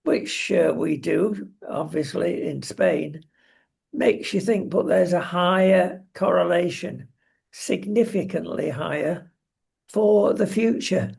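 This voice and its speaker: A talk show presenter with a gravelly, husky voice, giving wry, opinionated takes in conversational talk about current affairs. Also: medium pitch, takes it slowly, a little mellow.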